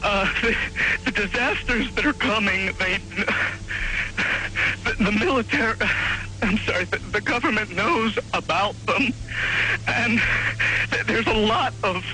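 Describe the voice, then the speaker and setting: A man calling in to a late-night radio show over the phone, stammering, hurried and fearful. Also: sits high, sharp tone, poor audio. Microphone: telephone line.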